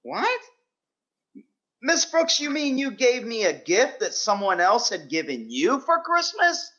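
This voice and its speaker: Guy doing earnest flustered young teacher, old radio sitcom, very animated, slightly muffled recording. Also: very high voice, unhurried, heavily acted. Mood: shocked. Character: earnest, naive young male schoolteacher, easily flustered. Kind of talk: 1940s radio sitcom acting.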